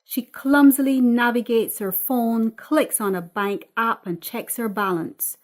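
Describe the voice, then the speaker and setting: A woman's voice: fairly high pitch, fairly even intonation, a little slow. A woman narrating a screenplay, reading out scene headings and stage directions. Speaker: script reader, narrator.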